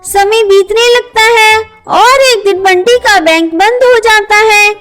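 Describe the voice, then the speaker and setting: A woman's voice: very high voice, slow pace, over loud music. A woman reading scripted narration for a children's cartoon story.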